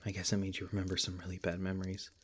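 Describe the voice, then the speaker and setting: A man playing a protective, tender monster hunter in an ASMR roleplay, speaking in a gentle, halting, earnest way with a husky, breathy voice. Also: low-pitched, monotone, slight background noise, lightly acted. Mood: tender, concerned. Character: protective, tender male monster hunter comforting his beloved. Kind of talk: ASMR roleplay, soft intimate acting.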